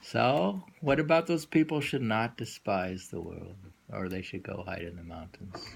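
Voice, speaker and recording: A man giving an informal poetry reading with commentary, musing haltingly and repeating phrases as he explains. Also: lowish voice, fairly even intonation, gravelly, breathy edge.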